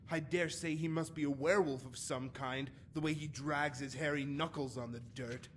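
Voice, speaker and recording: A man's voice: on the high side, fairly even intonation, moderate pace, slight background noise, natural voice. A man playing a haughty, prickly poet and bard in a fantasy game, speaking in a florid, pompous, scornful way. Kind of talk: scripted fantasy game dialogue.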